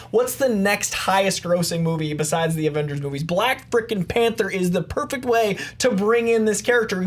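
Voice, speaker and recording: Male movie debater, emphatic persuasive delivery with rhetorical repetition. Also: high-pitched. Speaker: debate show contestant.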